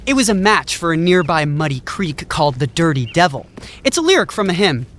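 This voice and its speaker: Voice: medium pitch, big pitch swings, a little fast, fairly bright tone, a little hiss or hum. An actor playing an eager hiker who recites grim trail history with dramatic relish, in an audio drama. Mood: informative, eager.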